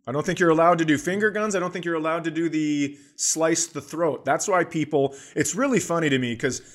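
A man with a slightly high voice, chatting as a podcast co-host. He goes on casual comic rants, blunt and sarcastic, and swears freely. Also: brisk, fairly bright tone.

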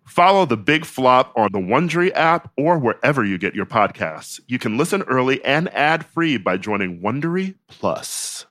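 A man's voice: mid-range voice, big pitch swings, moderate pace, few pauses, fairly bright tone, dry recording. A man hosting a podcast, playful and teasing, also reading an ad. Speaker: podcast host. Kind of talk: casual chat, read ads.

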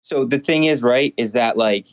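A man in his late thirties calling in to a show, speaking haltingly with many fillers and restarts. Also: medium pitch. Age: 30s. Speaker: caller. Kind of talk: phone-in call.

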